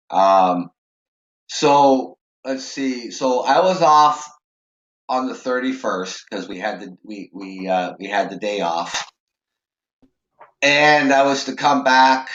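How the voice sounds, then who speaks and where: A man's voice: fairly low pitch, fairly bright tone, reverberant, highs cut off. A man from Canada hosting a casual call-in show, talking in a rambling way with lots of fillers while nursing a sore, raw throat. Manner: rambling, many fillers and restarts. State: sore, raw throat, holding back a cough. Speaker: show host.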